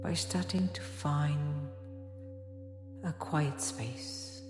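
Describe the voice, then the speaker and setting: A woman teaching a guided yoga nidra meditation in a soft, soothing, unhurried way with long pauses. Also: deep voice. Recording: echoey long reverb, music behind.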